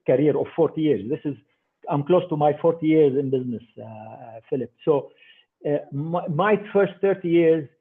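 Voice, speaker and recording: An older man speaks as the guest in an interview, emphatic, restarting and repeating words. His voice is a bit husky and slightly high for a man. The audio sounds thin and phone-like, as if sent over a remote call. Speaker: investor guest.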